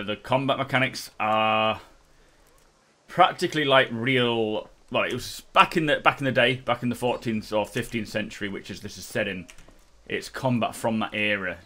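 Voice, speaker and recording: A man's voice: medium pitch, pushed and bright. A man giving casual playthrough commentary as host of a gaming video, sounding slightly tipsy. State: slightly drunk, self-reported.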